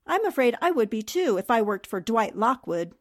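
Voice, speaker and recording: A woman's voice: a little high, big pitch swings, normal speed. A woman playing a polite, good-natured newspaperwoman with a genteel manner, in 1940s radio drama style. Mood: friendly.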